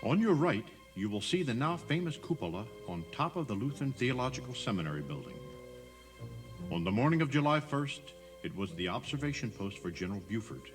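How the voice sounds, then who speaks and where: A man's voice: medium pitch, very animated, brisk, music in the background. A man narrating a scripted audio tour, explaining things directly to the listener.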